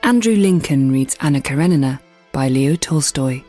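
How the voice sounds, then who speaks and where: A man's voice: mid-range voice, expressive, moderate pace, warm tone, soft background music. A man delivering a scripted introduction to an audiobook reading.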